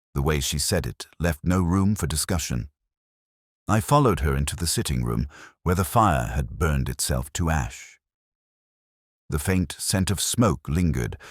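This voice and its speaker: A man with an extremely low voice reads a literary detective story in the first person. His delivery is measured and reflective, yet the pitch moves around in a lively way. The recording is close and dry. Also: husky, no echo. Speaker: narrator.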